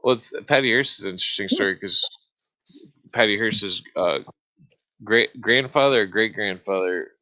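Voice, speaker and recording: A man hosting a casual, rambling discussion, speaking haltingly and stammering over his words. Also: on the low side, bright, forceful tone, low-quality recording.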